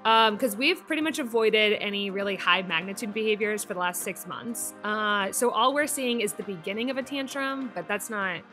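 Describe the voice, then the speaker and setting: A woman's voice: mid-range voice, moderate pace, hardly any pauses, bright, forceful tone, soft background music. A woman in a casual improvised role-play as a behavior analyst, phrasing things hesitantly.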